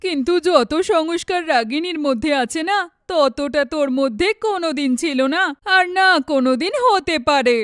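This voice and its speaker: Woman doing a high-voiced, firm middle-aged auntie. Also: animated, normal speed, few pauses, no echo, studio quality. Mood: reproving. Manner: firm, reproving, motherly. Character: middle-aged mother and neighbour aunt, firm, scolding. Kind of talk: animated moral-story cartoon acting.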